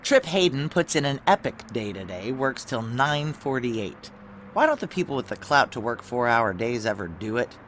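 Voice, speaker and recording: Lead narrator, security chief,: noir audio drama, medium pitch, tense, determined, clipped, light ambient noise. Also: male voice, very animated, moderate pace, a bit bright. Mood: tense, hard-boiled.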